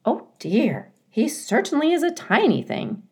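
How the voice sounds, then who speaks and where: Woman doing a female goose, high-pitched, squawky, blunt, fussy, motherly, very swoopy intonation, children's storybook. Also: huge pitch swings, a little slow, warm tone, exaggerated voice. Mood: frank, fussing. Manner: emphatic, swooping, opinionated. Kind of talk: children's storybook character reading.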